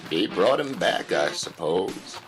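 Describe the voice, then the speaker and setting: A man plays a weary, folksy father in an audio drama. He drawls slowly and solemnly, with a lot of melodic movement in the voice, over clear music. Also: low-pitched, lively intonation, full character voice. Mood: weary, patient. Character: weary, folksy, cautious father, a poor drifter.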